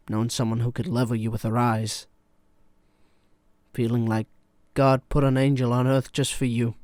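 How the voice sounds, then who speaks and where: A man playing a weary, wounded therapist in a film drama monologue, speaking in a measured, pointed and confrontational manner. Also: medium pitch, darkish tone, no room sound, close to an everyday voice. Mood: tender, grave.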